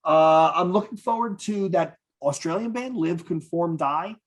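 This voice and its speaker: Higher-voiced man chatting enthusiastically. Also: unhurried. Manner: profane, enthusiastic music-fan talk. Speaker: podcast co-host. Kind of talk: casual chat.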